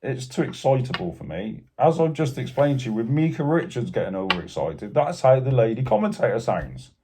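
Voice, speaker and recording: A man's voice: medium pitch, very animated, a little fast, warm tone, slight background noise. A Scottish man co-hosting a casual football chat, blunt and teasing in his opinions. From Scotland. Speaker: podcast co-host.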